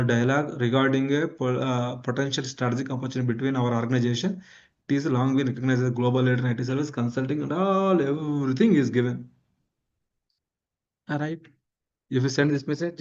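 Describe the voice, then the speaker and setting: A male instructor with a South Indian accent, a native Kannada speaker, gives a live screen-demo lecture. He repeats key phrases and often checks that listeners follow. His voice sits at an ordinary male pitch, and the recording is dull and low in fidelity. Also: darkish tone. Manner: repetitive, checks understanding with frequent tag questions.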